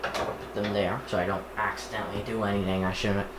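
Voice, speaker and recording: A man with a fairly low voice narrates a hands-on unboxing in a casual, halting way, partly muttering to himself. He is recorded in an echoey room, with clear noise in the background. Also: level tone. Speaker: YouTuber unboxing and setting up a radio. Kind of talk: casual unboxing narration while handling items.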